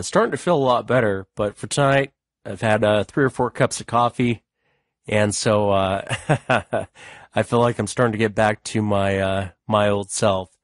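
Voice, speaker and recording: A hoarse man hosting a talk show, with an easygoing manner and a habit of thanking guests, recorded dry on a studio mic. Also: on the low side, dry recording. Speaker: podcast host.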